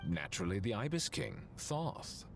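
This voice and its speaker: An actor playing a sly, haughty royal saint in a video game, speaking in a grandiose, formal way. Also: male voice, fairly low pitch, big pitch swings, moderate pace, creaky, music in the background. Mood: smug. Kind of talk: theatrical video game acting. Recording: faint game sound behind voice.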